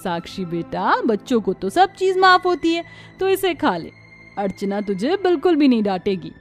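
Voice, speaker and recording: A woman's voice: fairly high pitch, big pitch swings, moderate pace, soft background music. A woman voicing a scheming, mocking aunt in a cartoon, sneering and falsely sweet. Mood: falsely coaxing. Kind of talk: Hindi cartoon voice acting.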